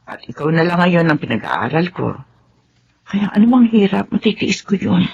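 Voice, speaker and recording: An actress playing a loyal, widowed middle-aged housemaid in her forties who speaks gently and motherly. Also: on the low side, big pitch swings, normal speed, vocal fry, slight background noise. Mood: worried. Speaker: radio drama actor. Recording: dull lo-fi radio recording.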